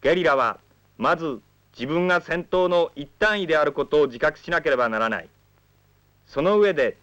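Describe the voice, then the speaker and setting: A man's voice: a little high, fairly bright tone, lo-fi sound. A man reading a scripted political narration in a formal, declarative manner. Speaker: documentary narrator.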